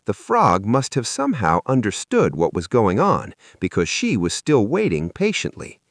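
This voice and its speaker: A man narrating a first-person children's story in an expressive, animated storytelling style. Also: fairly low pitch, moderate pace, no room sound, clean studio sound.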